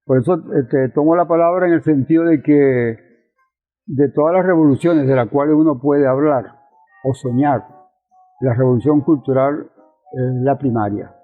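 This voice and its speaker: An older Venezuelan man speaking reflectively as an interview guest, halting and repeating phrases. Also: medium pitch. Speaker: interview guest, university professor. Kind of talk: reflective conversation. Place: balcony of his house. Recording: thin phone-like sound, faint music behind.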